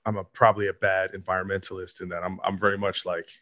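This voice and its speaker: A man's voice: deep voice, level tone, fast talker, a bit bright. A man hosting a casual interview, asking curious questions with many restarts. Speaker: podcast host.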